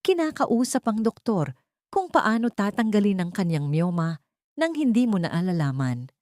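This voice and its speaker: A woman's voice: medium pitch, very animated, normal speed, a little mellow, no echo, clean studio sound, voice pushed up, lightly acted. A woman voicing a wealthy betrayed wife in an audio drama, icy, scornful and vengeful. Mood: cold, mocking. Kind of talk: dramatic audio story dialogue.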